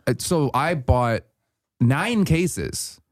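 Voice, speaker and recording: A man with a Wisconsin accent, rambling through a story in casual podcast banter with deadpan jokes and many restarts. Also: medium pitch, drawn-out words, dry recording, studio quality. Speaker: comedian guest.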